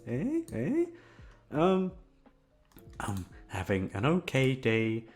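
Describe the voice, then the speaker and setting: Goofy man with wildly swinging pitch. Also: huge pitch swings, takes it slowly, soft background music. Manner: goofy, swearing, awkward, jumps into silly high tones. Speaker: audio roleplay performer. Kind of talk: casual rambling outro to listeners.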